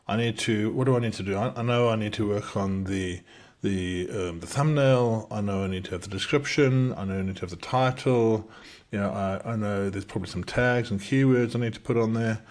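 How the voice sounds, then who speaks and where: A middle-aged South African man, his accent softened by years in the UK, walks through a software tutorial in casual talk. He talks fast, with many restarts and fillers. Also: fairly low pitch. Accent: South African, softened by decades in the UK. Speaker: entrepreneur demonstrating software. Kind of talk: casual screen-share tutorial. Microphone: webcam in a small circle over the screen recording.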